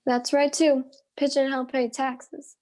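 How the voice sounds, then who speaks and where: A woman's voice: sits high, little pitch movement, a little slow, darkish tone. A woman with an American accent playing a forthright, neighborly farm wife in an amateur play. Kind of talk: amateur stage-play acting.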